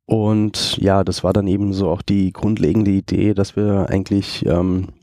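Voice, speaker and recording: A man in his thirties from Germany, co-founder of a company, explaining his product at length in a relaxed interview with frequent fillers. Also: deep voice, moderate pace, no room sound. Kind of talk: casual interview talk.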